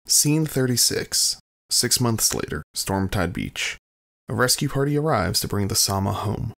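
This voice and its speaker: A man's voice: on the low side, lively intonation, measured pace, gravelly, a bit bright. A man giving scripted narration of scene headings as a narrator.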